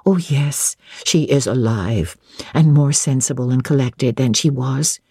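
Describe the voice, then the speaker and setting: Homely female ex-nursemaid and young mother acted by a narrator, kindly, respectful, mellow slightly husky voice, storybook dialogue. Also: a bass voice, unhurried, no echo, clean studio sound, pitched below the performer's own voice, heavily acted. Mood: gentle, informative. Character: kindly, bustling former nursemaid, quick-tempered young mother. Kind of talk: audiobook character dialogue.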